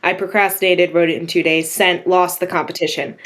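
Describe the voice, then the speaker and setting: A young woman from Virginia chats as a guest writer, her voice sitting a little low for a woman. She tells stories briskly and acts out other people's lines as she goes. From Virginia, USA. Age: young adult. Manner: animated storytelling with acted quotes. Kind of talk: casual interview chat.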